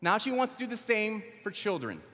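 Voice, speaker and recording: A man's voice: high voice, sing-song, brisk, a bit bright. A man hosting a conference on stage, with playful, upbeat emcee patter. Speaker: event host. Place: large hall on stage. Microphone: stage microphone. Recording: hall reverb.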